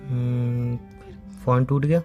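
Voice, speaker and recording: A man's voice: medium pitch, flat delivery, slow, warm tone, faint music behind, lightly acted. A young man acting a patient, teasing boyfriend in a phone-call skit, speaking in a caring, coaxing way. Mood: soothing, concerned. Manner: coaxing, playful, soothing. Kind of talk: acted romantic comedy phone-call skit in Hindi.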